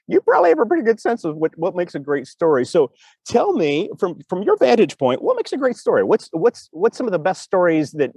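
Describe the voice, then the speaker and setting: A man's voice: fairly high pitch, darkish tone, slightly rough, no room sound. A man co-hosting a podcast with casual, rambling enthusiasm, often repeating his phrases. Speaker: podcast co-host and storytelling teacher. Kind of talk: casual chat. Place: outdoors in a cemetery.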